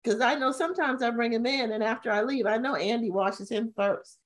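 A middle-aged woman co-hosting a casual chat over a video call from home, telling stories with sudden high-pitched bursts of emphasis. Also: fairly quick. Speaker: co-host. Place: home room, indoors. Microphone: video call webcam.

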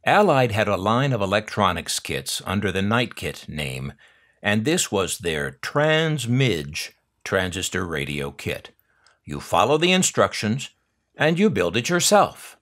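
An older man with an American accent narrates in a fairly low voice that rises and falls dramatically. His delivery is wry and nostalgic, like a prepared script for an unboxing video, with dry asides. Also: very animated, unhurried, a bit bright. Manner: wry, dryly humorous. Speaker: host of a collector's unboxing channel.

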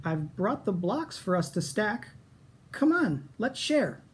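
A bratty, grabby young boy dinosaur from a storybook, acted out by a man, with an American accent. The voice leaps up high and swings widely, whining and roaring possessively. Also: sing-song, takes it slowly, husky, heavily acted. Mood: pleading. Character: greedy, tantrum-throwing young male dinosaur.

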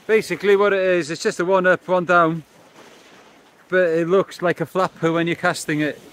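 A man's voice: high voice, level tone, normal speed, a bit bright. A middle-aged man chatting to the camera on a pebbly beach by the sea, explaining his fishing as he works. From Wales. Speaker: fishing vlogger. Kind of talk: casual chat to camera. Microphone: camera close up, held or on a stand.

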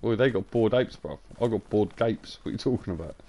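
A young man with a croaky voice, joking crudely and chatting casually while live-streaming a game. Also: on the low side, brisk, mellow. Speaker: gaming streamer.

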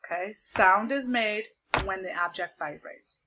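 A woman teacher projecting her voice loudly as she explains a lesson step by step over a video call, repeating the key points. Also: medium pitch, measured pace, lo-fi sound. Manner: loud projected voice. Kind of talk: remote classroom lesson. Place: home desk with a document camera. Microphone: computer mic on a video call.

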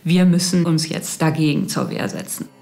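A middle-aged woman, an academic expert, speaking spontaneously in an interview and pausing to think. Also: deep voice, animated, gravelly, warm tone. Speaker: university historian, interviewed expert. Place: indoor interview studio.

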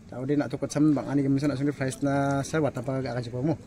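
Brief: male interviewer, quick and brisk, mellow dark tone, says "acha" a lot, faint outdoor noise. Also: mid-range voice, flat delivery. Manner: brisk questioning, frequent "acha". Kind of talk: asking interview questions. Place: outdoors by a rural fish pond.

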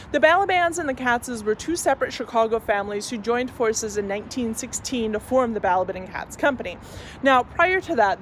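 Young woman guide chatting away on a street, higher voice, traffic noise behind. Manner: chatty and enthusiastic, with asides. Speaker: tour guide. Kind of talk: walking-tour presentation to camera. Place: outdoors on a city street. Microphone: phone camera at arm's length.

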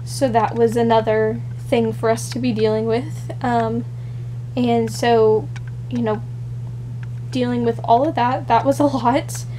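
A woman's voice: fairly high pitch, steady tone, unhurried, dark tone, a little hiss or hum. Unwell young woman rambling to camera. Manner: rambling, many fillers and restarts. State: unwell, shaky and nauseous. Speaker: vlogger. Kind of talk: casual personal vlog. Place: small bedroom, indoors. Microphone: camera close up, built-in mic.

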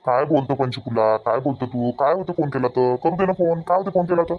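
A man's voice: mid-range voice, a little fast, close to an everyday voice. A man voicing a middle-aged village father in a comic family cartoon, argumentative and pleading, with a Varhadi Marathi accent. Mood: exasperated, reasoning. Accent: Varhadi Marathi of Vidarbha. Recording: slightly dull, lo-fi sound.

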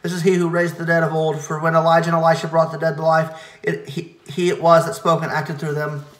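Man, church reader, rapid chanted liturgy, monotone, echoey room. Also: fairly high pitch. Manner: quick, steady recitation with stumbles and self-corrections. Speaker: reader leading a church service. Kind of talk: liturgical chanting of hymn verses.

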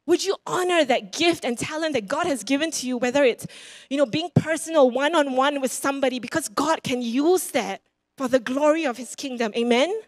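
A woman's voice: sits high, fairly quick, no echo. A woman in her thirties with a Singaporean accent, preaching a sermon on a church stage into a handheld mic. She moves about and gestures as she tells personal stories, and she repeats phrases for emphasis. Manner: animated, roams the stage gesturing. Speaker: guest preacher. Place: church stage before a congregation.

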